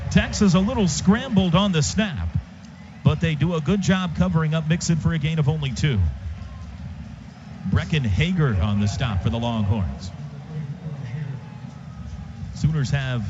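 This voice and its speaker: A man calling football live on radio as play-by-play announcer, in clipped, urgent phrases, from a stadium booth. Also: fairly high pitch. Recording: dull radio feed over stadium noise. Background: stadium ambience.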